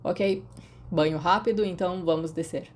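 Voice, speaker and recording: A bashful teenage boy, played by a woman narrator in an audiobook. He is dry and deadpan, easily embarrassed, with a mellow tone. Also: on the low side, normal speed, slight background noise, natural voice. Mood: dry, exasperated. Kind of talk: audiobook character dialogue.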